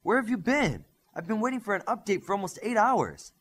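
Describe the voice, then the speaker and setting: A young superhero team leader, played by a male reader, defiant and determined in an audiobook. The voice is slightly husky and lively in pitch, with a firm, unflinching delivery. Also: fairly quick, heavily acted. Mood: impatient.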